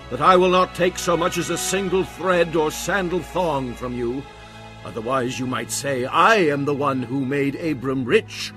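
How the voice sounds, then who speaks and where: An actor playing an earnest patriarch in a dramatized audio Bible, speaking in a solemn, fervent, husky voice. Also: male voice, a little high, close to an everyday voice. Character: Abram, earnest patriarch pleading with his God.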